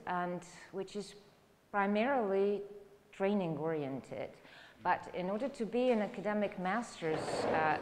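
A middle-aged female university lecturer with a Serbian accent speaks in a fairly low voice. She explains things haltingly, as in a freely spoken academic talk. The lecture room rings with reverb and has some faint noise behind her. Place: indoor lecture room, standing by a lectern.

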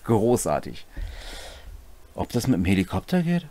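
A man's voice: on the low side, wildly swooping pitch, moderate pace, crowd noise. A middle-aged man casually explains game rules, adding wry, colloquial asides. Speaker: host of a tabletop role-playing rules series. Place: small furnished room at home, indoors.

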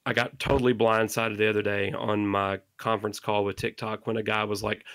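Gravelly-voiced man, earnest and impassioned. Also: fairly low pitch, level tone, brisk, a bit bright, no room sound. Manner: impassioned, exhorting listeners. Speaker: podcast guest. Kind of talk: earnest interview monologue.

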